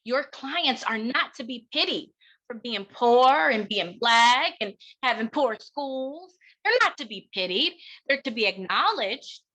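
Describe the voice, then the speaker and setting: Bright, high-voiced American woman giving a keynote. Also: lively intonation, rough recording. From United States. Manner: emphatic, deliberate rhetorical delivery with pauses and repetition. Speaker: keynote speaker and educator. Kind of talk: impassioned keynote talk.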